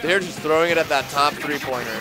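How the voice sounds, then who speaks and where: A man's voice: on the high side, fast. A man giving casual live commentary on a video game match in short, reactive calls. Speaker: esports tournament co-commentator. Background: video game music.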